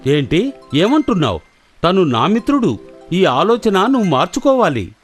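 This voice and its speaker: A man acts out an amiable, henpecked crocodile from a kids' cartoon. His pitch moves around a lot. Music plays underneath. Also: big pitch swings, normal speed. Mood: protesting. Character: friendly male crocodile, reluctant henpecked husband. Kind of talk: children's cartoon story voice acting. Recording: music behind the voice.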